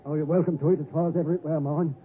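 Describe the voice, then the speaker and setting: An actor playing a humble, gentle village blacksmith with a rustic, deferential manner, in 1950s radio drama. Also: male voice, on the high side, fairly even intonation, rapid-fire, close to an everyday voice. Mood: kindly, gentle. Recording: tinny, noisy 1950s radio broadcast.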